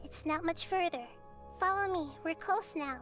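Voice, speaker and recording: A woman voicing a gentle, curious young girl goddess in a game cutscene, speaking softly and earnestly. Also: very high-pitched, normal speed, music in the background. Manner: soft, earnest, reassuring.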